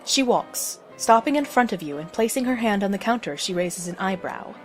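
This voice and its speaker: A woman reads scripted story narration in the second person. Her voice is medium in pitch for a woman. Faint music runs behind her words. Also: animated, normal speed.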